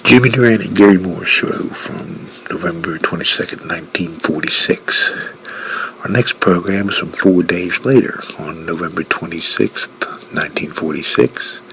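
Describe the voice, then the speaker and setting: Male old-time radio host: low, creaky yet bright voice, casual introductions, faint noise behind. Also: moderate pace, low-quality recording.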